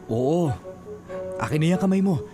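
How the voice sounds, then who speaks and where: A man playing a polite, helpful young man in a Filipino radio drama, speaking in an earnest, gentle, slightly hesitant way. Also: on the high side, expressive, normal speed, music in the background, close to an everyday voice.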